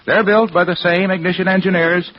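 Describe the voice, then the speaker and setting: Polished male vintage radio pitchman. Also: fairly even intonation, a little fast. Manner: polished, salesmanlike. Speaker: radio commercial announcer. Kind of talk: scripted 1950s radio sales pitch. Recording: muffled old radio, faint noise.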